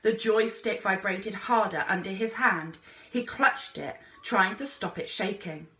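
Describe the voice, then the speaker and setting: Woman, expressive storybook narration, bright forceful tone, mid pitch, roomy echo, teacher reading aloud. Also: unhurried. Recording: echoey room.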